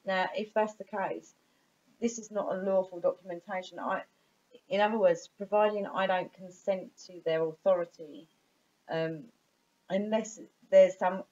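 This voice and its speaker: A woman on a phone call, informally arguing her point in an insistent, halting way and repeating her phrases in a muffled recording. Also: medium pitch, steady tone, dark tone. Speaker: caller.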